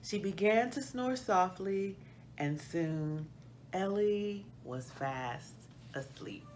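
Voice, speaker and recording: A middle-aged woman hosting a children's read-aloud, reading storybook style with deliberate, expressive phrasing. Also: medium pitch, a little hiss or hum. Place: small plain indoor room.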